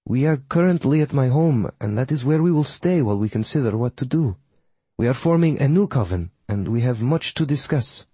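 A man's voice: mid-range voice, animated, a little fast, warm tone, husky, dry recording, voice pushed up. A man voicing a proud female witch who leads a coven, speaking with a Polish accent in a formal, clipped, disdainful way. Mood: measured.

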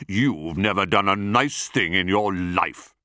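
A big storybook elephant, played by a man, honest and indignant. The voice is deep and slow, booming out scoldings with drawn-out words. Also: pushed and bright, dry recording, clean studio sound. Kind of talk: children's storybook character voice.

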